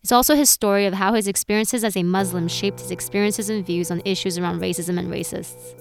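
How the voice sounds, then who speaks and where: A woman podcast host reading scripted narration clearly and evenly. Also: medium pitch, steady tone, brisk, faint music behind.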